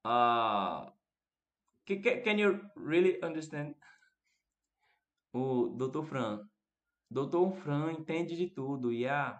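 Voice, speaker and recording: A man's voice: fairly high pitch, expressive, takes it slowly, pauses often. A man from Recife with a northeastern Brazilian accent, chatting casually as he teaches a live online class and addresses his students. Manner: chatty, questioning, addressing viewers by name. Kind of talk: casual interactive lesson, reading and answering chat messages.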